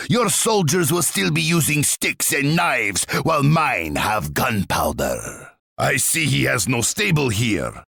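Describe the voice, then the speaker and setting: An actor playing a scheming, imperious Russian tsar in a video game, pompous and menacing, savouring each taunt in a gravelly, raspy voice. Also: male voice, medium pitch, sharp tone, no echo, studio quality, heavily acted. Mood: gloating, scheming. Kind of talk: theatrical strategy game taunts.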